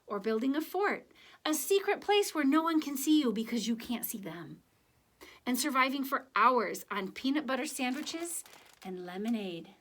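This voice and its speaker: A woman reading a children's picture book aloud, with deliberate, expressive phrasing and conversational asides to young listeners. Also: high voice. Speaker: children's story reader.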